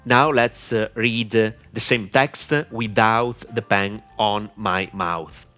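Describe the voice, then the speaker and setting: A man with an Italian accent, whose first language is Italian, giving a deliberate, clear instructional talk to camera with frequent "uh" fillers. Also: lowish voice, fairly even intonation, sharp tone, soft background music. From Italy. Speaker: online marketing mentor.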